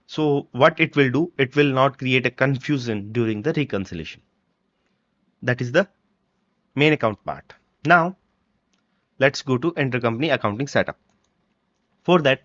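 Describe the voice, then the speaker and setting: Male software trainer, halting step-by-step delivery, medium pitch, dry but muffled recording. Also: no room sound. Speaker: software tutorial presenter. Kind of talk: step-by-step screen-recording walkthrough. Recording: dull, lo-fi microphone sound.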